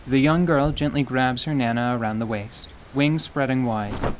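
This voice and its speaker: A man narrating a scripted radio theater story in a measured, descriptive way. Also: medium pitch, a little hiss or hum, rough recording. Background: faint music.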